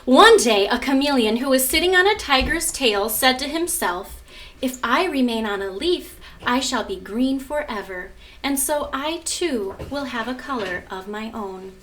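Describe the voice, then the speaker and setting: A woman with a bright, fairly high voice speaks slowly and instructively, like a teacher guiding children through a lesson or picture book. She sounds some way from the mic, with noise behind her. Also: animated, far from the mic. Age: adult. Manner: instructive, prompting children with questions. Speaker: art teacher and mother. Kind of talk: lesson narration and picture book read-aloud. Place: at home.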